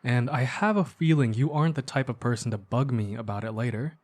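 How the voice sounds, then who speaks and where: A man's voice: medium pitch, a little fast, natural voice. A young man playing a caring, earnest best friend in an intimate ASMR roleplay, talking in a casual, slightly hesitant, conversational way. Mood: hesitant, sincere. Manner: soft-hearted, hesitant, casual chatty phrasing.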